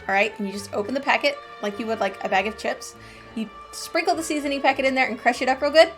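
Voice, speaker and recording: Casting: female gaming streamer, fairly high voice, casual and chatty commentary, light game music behind. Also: fairly bright tone. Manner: chatty, colloquial asides. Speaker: gaming channel host. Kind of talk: casual let's-play commentary.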